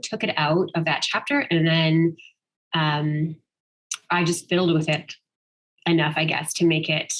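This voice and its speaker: A woman's voice: low-pitched, low-quality recording. A woman speaking informally and thoughtfully as a guest writer answering questions, hesitating as she goes. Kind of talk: casual question-and-answer at an online literary event.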